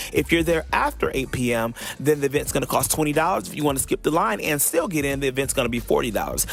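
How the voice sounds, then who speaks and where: Playful man podcasting in a higher-pitched voice. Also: fairly bright tone. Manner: playful, folksy, addresses listeners as y'all. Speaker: podcast host. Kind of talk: casual upbeat podcast talk.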